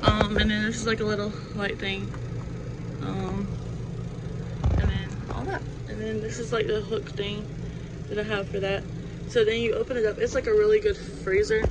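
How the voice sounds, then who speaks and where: A woman's voice: medium pitch, moderate pace. A young adult woman giving a casual, unscripted home tour inside a camper in a rambling, offhand manner. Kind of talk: casual, unscripted show-and-tell. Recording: noisy handheld recording, steady background noise.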